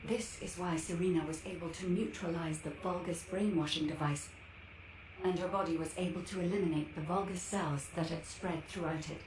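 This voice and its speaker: A woman's voice: on the low side, steady tone, normal speed, lightly acted. A woman voicing a composed analyst in a video game, briefing the hero in a formal way. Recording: echoey with clear background noise.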